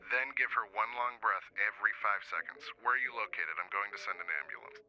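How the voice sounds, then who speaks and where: A man playing a calm, methodical emergency dispatcher in a radio drama, giving measured instructions over a phone speaker. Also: on the low side, lo-fi sound. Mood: calm, firm.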